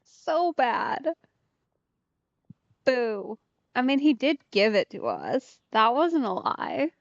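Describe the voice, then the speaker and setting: A woman's voice: fairly high pitch, big pitch swings, slow pace, vocal fry, dry recording, muffled recording. A woman game streamer giving casual, playful let's play commentary, reacting and thinking aloud. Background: faint game music.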